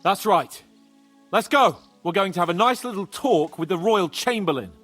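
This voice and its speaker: An actor playing a cocky, confident medieval nobleman in a video game, brisk and wry as he takes the lead. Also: high voice, lively intonation, a bit bright, faint music behind. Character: cocky, confident nobleman leading a siege parley. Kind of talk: dramatic video game acting.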